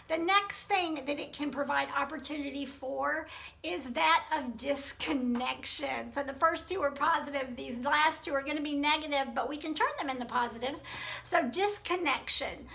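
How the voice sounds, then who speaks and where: A woman leadership coach giving a recorded teaching talk, her voice gravelly from allergies, earnest and steady, laying out points in lists with frequent ums. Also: high voice.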